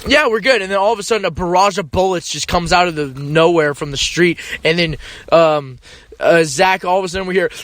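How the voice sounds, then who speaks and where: A man's voice: high-pitched, fairly quick, fairly bright tone, slight background noise. A young man hosting a casual chat, telling stories to friends and swearing freely, recorded inside a car. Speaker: podcast host.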